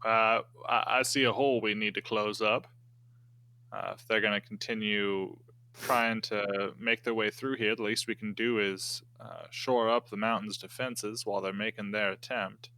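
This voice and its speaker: A man speaking in character in a tabletop game, deliberate and hesitant, with folksy old-time phrasing. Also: fairly low pitch, fairly even intonation, pushed and bright, low-quality recording.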